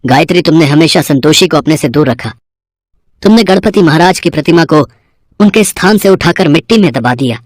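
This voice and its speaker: An actor playing a kindly, concerned, devout father in a cartoon moral story, gentle and expressive. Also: on the high side, fairly quick, dry recording, clean studio sound, natural voice. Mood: admonishing.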